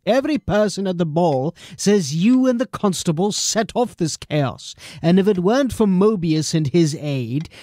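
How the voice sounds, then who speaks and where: A man playing a stern, skeptical but loyal police captain in an audio drama, measured and admonishing. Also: sits high, animated, warm tone, no room sound, studio quality. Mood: disbelieving.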